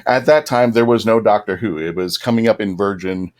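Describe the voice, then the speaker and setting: A man's voice: lowish voice, fairly quick, darkish tone. A man hosting a fan panel, talking casually to the audience and fellow panelists. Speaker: panel host.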